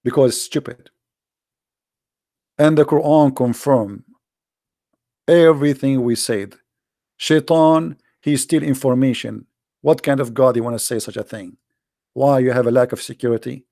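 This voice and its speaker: A man's voice: medium pitch, normal speed, vocal fry, long pauses, a little mellow, dry recording, studio quality. Emphatic man with an Arabic accent. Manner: emphatic, blunt, repeats phrases, rhetorical questions. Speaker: host, religious polemicist. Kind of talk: impassioned informal monologue to online audience.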